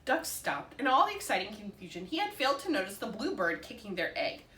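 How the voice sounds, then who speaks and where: A woman reading a children's story aloud as expressive narration for story time. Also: mid-range voice, fairly quick, far from the mic. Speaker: storytime reader. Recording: roomy echo with faint background noise.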